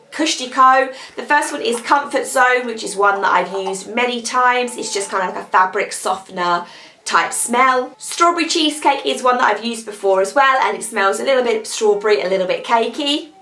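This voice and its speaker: A young British woman with a croaky voice from a cold, chatting casually as she reviews beauty products she has used up. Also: a little high, normal speed, pushed and bright, echoey room. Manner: chatty, rambling, upbeat. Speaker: beauty vlogger.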